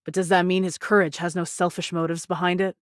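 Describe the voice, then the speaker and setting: A woman's voice: fairly low pitch, level tone, quick pace, no room sound, clean studio sound, natural voice. A woman voicing a wary, cynical female pirate with a blunt, scornful manner in anime game dialogue. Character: wary, cynical pirate woman who refuses risky ventures.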